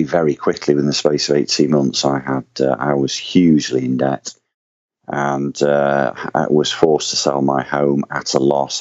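Mancunian man, very low voice, chatty rambling guest, dry dull recording. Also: a little mellow, dry recording. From Manchester, England. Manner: chatty, rambling, many fillers and repeats. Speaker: guest business owner. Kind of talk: casual interview chat.

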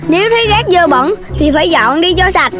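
An actor voicing a silly, big-bellied young cartoon pig, childlike and self-important, over loud music. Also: extremely high pitch, animated, a little fast. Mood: pompous.

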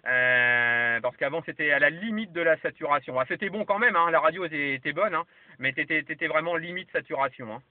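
A man's voice: a little high, expressive, fairly quick, dry recording. A man chatting casually as a radio operator and giving signal reports. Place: parked vehicle. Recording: lo-fi camera audio, muffled, dry.

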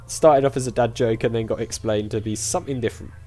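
A man's voice: mid-range voice, steady tone, fast talker, mellow, soft background music. A chatty man giving casual let's-play commentary, thinking aloud as he goes. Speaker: gaming YouTuber.

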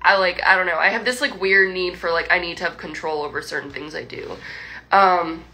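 A woman's voice: on the low side, fairly even intonation, fairly quick, pushed and bright, low-quality recording. A young woman livestreaming from home, chatting to fans in a rambling, laughing way full of likes and restarts. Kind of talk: casual chat with viewers. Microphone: phone livestream.

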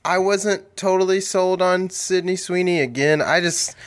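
A man's voice: high voice, fairly even intonation. A man in his thirties co-hosting a casual movie-review podcast from home on a studio mic, laughing often and acting out quoted voices. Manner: giggly, quick to laugh, acts out quoted voices. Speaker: podcast co-host. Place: home room with bookshelves. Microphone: studio mic with headphones, video call.

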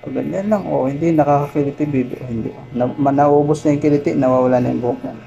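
Patient barber, mellow voice. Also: male voice, on the high side, quick pace, gravelly. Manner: patient, soothing, repeats short reassurances. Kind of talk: casual coaxing talk to a child. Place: small barbershop. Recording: dull, noisy phone recording.

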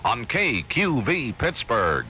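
A man's voice: mid-range voice, big pitch swings, slow pace, sharp tone, slight background noise, low-quality recording. A man with an American accent reading a 1970s radio weather report on an old aircheck tape. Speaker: radio newsman.

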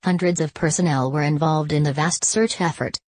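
A woman with a deep, low voice delivers a scripted news story. Her read is even and measured, and the recording is dry, with no room sound. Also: dry recording. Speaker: news narrator.

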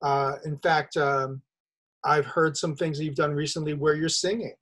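A middle-aged man hosting a podcast over a video call, explaining his ideas in a measured, thoughtful way. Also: on the high side, fairly even intonation, a bit bright. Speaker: podcast host. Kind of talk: interview conversation. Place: home studio room, video call. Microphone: video call webcam.

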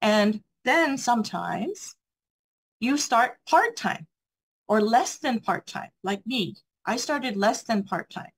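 A woman's voice: a little high, animated, slow, breathy edge. Encouraging woman giving a seminar talk. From USA. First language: English. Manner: earnest, encouraging, asks the audience rhetorical questions. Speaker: seminar speaker. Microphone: video call mic.

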